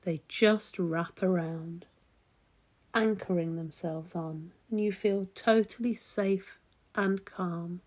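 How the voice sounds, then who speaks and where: A woman leading a guided meditation in a soothing, gentle, unhurried manner with long pauses. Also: fairly low pitch.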